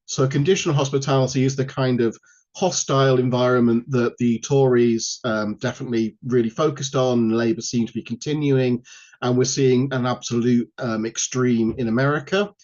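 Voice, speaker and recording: Male academic speaker, mid-pitched, informal and self-deprecating, delivering an online lecture over slightly muffled lo-fi audio. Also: a little mellow. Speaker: university lecturer, guest speaker. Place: online video call. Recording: dull, lo-fi online call audio.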